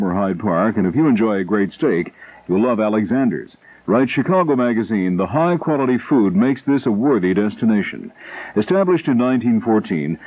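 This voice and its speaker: An American man anchors a radio broadcast, reading prepared copy. His voice sits at an average male pitch. The sound is thin and band-limited, like 1970s AM radio. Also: dry recording. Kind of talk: scripted radio news and ad copy. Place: radio studio. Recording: narrow, tinny 1970s AM radio.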